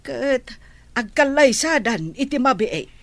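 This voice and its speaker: A woman acting a calm villager who passes on family news in an Ilocano-accented radio drama, in a measured, explanatory way. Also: high voice, dry recording, heavily acted. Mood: matter-of-fact.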